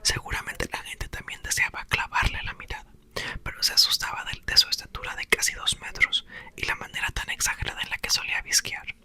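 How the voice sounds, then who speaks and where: A man's voice: brisk, bright, forceful tone. Whispering man reading ASMR storybook. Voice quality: breathy, unvoiced whisper. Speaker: ASMR creator reading a book aloud.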